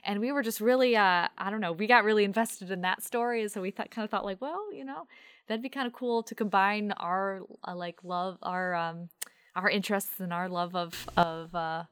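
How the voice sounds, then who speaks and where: A woman's voice: medium pitch, normal speed, a bit bright, clean tone, no echo, studio quality. A woman talking informally as a guest in a casual interview, phrasing her thoughts tentatively. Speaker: audio drama creator, interview guest.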